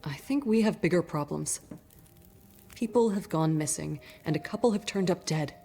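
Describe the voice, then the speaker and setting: An actress playing a worried townswoman in a video game drama, speaking in a grave, earnest, measured way. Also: lowish voice, lively intonation, vocal fry, dark tone, soft background music. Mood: anxious, grave. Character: worried townswoman keeping vigil over a sick loved one.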